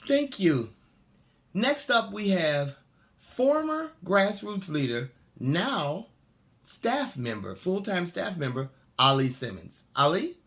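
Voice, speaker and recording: A woman's voice: lowish voice, very animated, slow pace, fairly bright tone. A woman hosting a poetry showcase, introducing the next reader. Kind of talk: event introductions.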